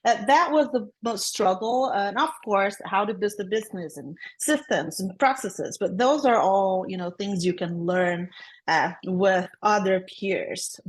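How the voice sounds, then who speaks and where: A woman's voice: medium pitch, low-quality recording. Upbeat woman with a Brazilian accent. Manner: upbeat, laughs often between thoughts. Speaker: guest, transaction coordinator. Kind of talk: casual interview chat.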